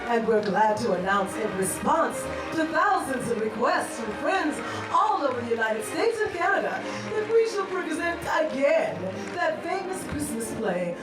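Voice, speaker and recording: A woman's voice: sits high, sing-song, unhurried, hardly any pauses, distant mic. A woman playing an effusive, dramatic theater announcer in an old-time radio show. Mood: warmly announcing. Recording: echoey live stage, loud music and crowd. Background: music and crowd.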